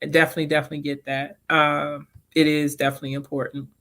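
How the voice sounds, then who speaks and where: Bright-voiced woman, sniffly from a cold. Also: low voice, little pitch movement, quick pace. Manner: chatty, personal, laughs easily. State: getting over a cold, sniffly. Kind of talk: casual panel conversation.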